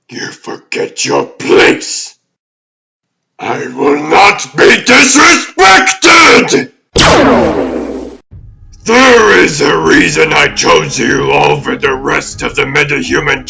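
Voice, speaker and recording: A man voicing an ancient, imperious demon overlord in a comic dub, with a gravelly growl and pompous, formal, deliberate delivery. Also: a little high, huge pitch swings, pushed and bright, exaggerated voice. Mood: enraged. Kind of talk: theatrical villain acting in a comic dub.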